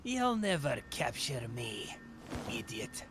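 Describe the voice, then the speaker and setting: A man's voice: mid-range voice, sing-song, slow pace, background noise. A man playing a hot-tempered, threatening male villain who snaps in agitation, in an anime roleplay drama. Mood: angry.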